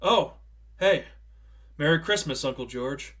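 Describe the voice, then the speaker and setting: A man's voice: a little high, lively intonation, measured pace, fairly bright tone, slightly rough, exaggerated voice. A man voicing a friendly, reassuring girl, an older sister in a story reading, with a casual, caring manner. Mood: surprised cheer.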